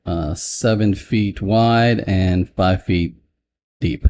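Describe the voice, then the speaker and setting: Halting low-voiced man at a hearing. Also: a little mellow, a little hiss or hum. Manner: halting, restarts mid-sentence. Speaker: applicant at a planning board hearing. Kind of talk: answering questions at a public meeting.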